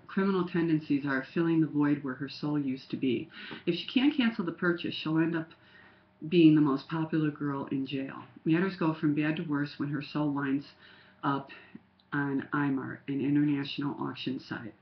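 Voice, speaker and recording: An older woman with a slightly nasal voice from a cold, chatting casually as a writing coach with many ums, recorded on a webcam in a home study. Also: sits low. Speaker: writing coach critiquing query letters. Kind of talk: casual webcam critique, reading a letter aloud. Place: home study with bookshelves, indoors. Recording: dull lo-fi webcam audio.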